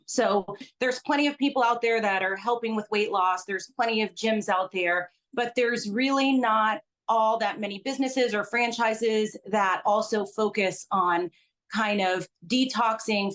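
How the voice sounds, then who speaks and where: A woman's voice: mid-range voice, fairly bright tone. A Belgian-born woman, a business owner, earnestly explaining her work in a casual interview over a video call.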